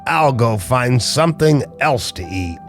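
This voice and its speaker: A man's voice: mid-range voice, lively intonation, a little slow, faint music behind. Man doing a grumpy hungry storybook panther.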